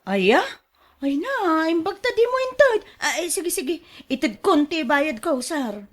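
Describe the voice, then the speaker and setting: Casting: actress for sly Ilocano woman trader in a radio drama, high, very lively, exclamatory delivery. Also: measured pace. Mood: polite.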